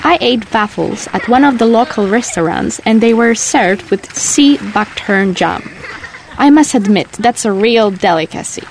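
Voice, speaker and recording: Woman acting curious, polite tourist, slightly high voice. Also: animated, measured pace, creaky, slight background noise, poor audio. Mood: curious, admiring. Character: curious, appreciative female tourist. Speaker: tourist asking questions. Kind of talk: scripted audio guide dialogue.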